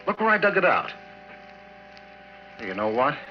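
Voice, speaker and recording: A man playing an excitable, insistent oil-rig worker in urgent 1940s radio drama style. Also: fairly high pitch, normal speed, a little hiss or hum. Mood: excited, insistent. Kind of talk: 1940s radio horror acting. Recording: muffled old radio recording.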